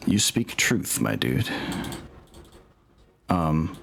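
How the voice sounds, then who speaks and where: A man's voice: extremely low pitch, sing-song, very slow, gravelly, fairly bright tone. A man with an easygoing, colloquial way of talking, chatting casually as the game master of a tabletop game.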